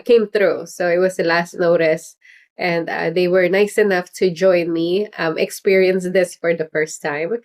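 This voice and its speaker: A middle-aged woman chatting loosely on a livestream, her voice sitting a little low. She rambles, restarts and fills gaps with "um" and soft laughs, warmly casual and unscripted. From Philippines heritage. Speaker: political candidate hosting a livestream.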